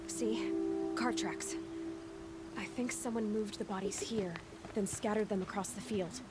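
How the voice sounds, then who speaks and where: An actress playing a level-headed, determined young huntress in a video game, speaking in a direct, probing, dry manner. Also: female voice, mid-range voice, fairly even intonation, a little slow, over loud music, lightly acted. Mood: focused, determined. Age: young adult. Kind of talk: video game dialogue acting.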